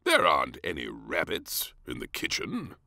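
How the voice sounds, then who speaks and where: A man's voice: very deep voice, huge pitch swings, moderate pace, creaky, bright, forceful tone, no echo, clean studio sound, heavily acted. A man voicing a jolly, doting king and father in a children's storybook, hearty and exaggerated. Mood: hearty, encouraging. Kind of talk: read-aloud children's storybook.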